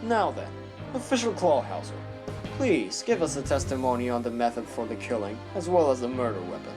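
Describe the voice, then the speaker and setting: A man's voice: mid-range voice, big pitch swings, lightly acted. A man playing a strict, condescending prosecutor in a courtroom audio drama, speaking formally and pompously. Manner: formal, pompous, deliberate phrasing. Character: stern, condescending male bird prosecutor, strict and theatrical. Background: prominent music.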